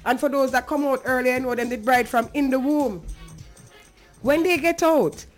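A woman with a fairly high voice and a Jamaican accent speaks earnestly, like a host addressing listeners. Her talk is emphatic and conversational, sliding at times into patois, with music playing behind her. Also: moderate pace. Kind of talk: advocacy talk on a talk show, slipping into patois.

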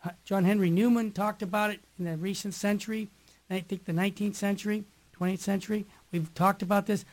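Loud-voiced male radio host. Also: high voice. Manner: loud voice, reads scripture aloud. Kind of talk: live radio talk show.

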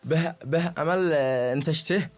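A man with a husky voice and a Yemeni accent, answering interview questions informally in halting, repetitive phrases. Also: fairly high pitch, soft background music. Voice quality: husky, hoarse-tinged voice. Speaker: singer, interview guest.

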